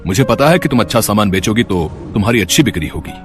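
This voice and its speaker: Man as a benevolent boss, lively intonation. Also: on the low side, fast talker, soft background music, lightly acted. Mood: firm, kindly. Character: benevolent, authoritative wealthy merchant boss. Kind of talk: moral story character voice.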